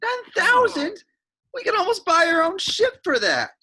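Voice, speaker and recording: A woman's voice: extremely high pitch, big pitch swings, measured pace, pushed and bright, heavily acted. A woman playing an eager, defensive young male adventurer in a sci-fi script reading. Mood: indignant. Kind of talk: script table read.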